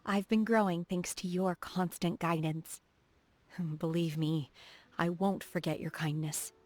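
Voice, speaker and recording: A woman voicing a reserved, earnest, socially awkward young female martial disciple in a video game, speaking in a formal, measured way. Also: on the low side, fairly even intonation, darkish tone. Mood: grateful, warm. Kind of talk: video game character voice acting. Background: background music.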